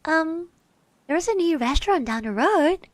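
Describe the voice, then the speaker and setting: A woman playing a shy, sweet schoolgirl classmate in an ASMR roleplay, speaking softly and hesitantly to the listener. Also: very high voice, animated, unhurried, no room sound. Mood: bashful, friendly. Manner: hesitant, stammering, often dropping to soft murmurs and whispers.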